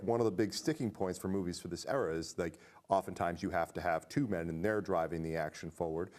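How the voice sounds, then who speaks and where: A man with a fairly low voice talks casually about film as a podcast co-host. He is analytical and animated, often putting questions to his partner and acting out imagined remarks.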